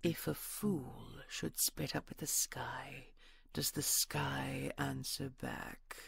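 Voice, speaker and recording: An imperious dragon queen played by a woman speaks slowly and deliberately in a deep-creaking, husky voice. She is regal, dry and menacing, as in a fantasy audio drama, recorded very close and dry. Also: steady tone, dry recording, in a whisper, heavily acted. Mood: regal, cold. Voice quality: gravelly, husky. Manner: regal, measured, dry sarcasm. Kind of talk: audio roleplay acting.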